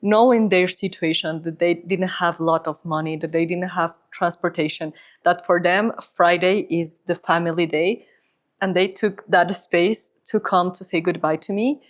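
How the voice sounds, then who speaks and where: Woman, Ecuadorian Spanish accent, slightly low voice, native Spanish speaker, personal storytelling, interview guest. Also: steady tone, normal speed. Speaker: podcast guest, humanitarian psychologist.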